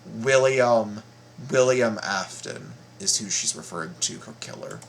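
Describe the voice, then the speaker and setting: Man, casual reaction commentary, slow pace, halting and repetitive, low pitch, light noise behind. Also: lively intonation, vocal fry. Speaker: reaction video host.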